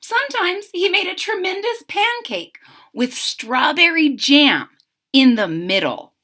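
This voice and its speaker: A woman reading a picture book aloud to children, expressive and breaking off for chatty asides to her listeners. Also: extremely high pitch, measured pace. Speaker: story reader.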